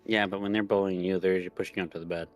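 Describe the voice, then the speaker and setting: A man's voice: sits low, steady tone, racing. A man chatting casually as co-host of a gaming live stream, joking in a mock-whiny way. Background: music.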